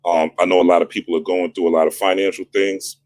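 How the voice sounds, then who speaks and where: A man with a deep voice hosting a spiritual talk, casual but insistent, stacking repeated phrases for emphasis. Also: little pitch movement, fast, darkish tone, dry recording. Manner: repetitive, preacher-like emphasis. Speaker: spiritual astrology channel host. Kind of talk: casual motivational monologue.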